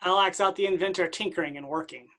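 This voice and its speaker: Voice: medium pitch, quick pace, a bit bright. A matter-of-fact reader giving scripted stage directions for a play.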